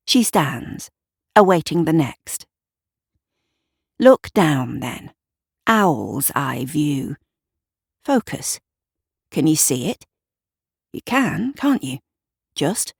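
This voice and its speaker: A woman narrating a scripted audio drama in a measured, deliberate, atmospheric storytelling manner. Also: low-pitched, slightly rough, no echo, clean studio sound. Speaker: writer and narrator.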